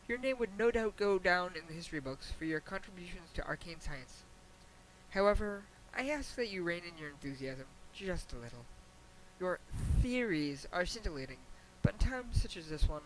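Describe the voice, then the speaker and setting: A man voicing a regal, patient pony princess who gently admonishes her student in a formal, wry, measured way, reading a fan fiction letter. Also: high voice, poor audio. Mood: diplomatic, gently chiding. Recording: hissy amateur home recording.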